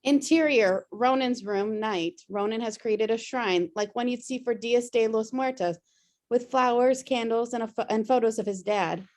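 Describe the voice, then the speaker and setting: A woman's voice: fairly high pitch, normal speed, a little mellow. A woman narrating stage directions at a script table read. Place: remote video call.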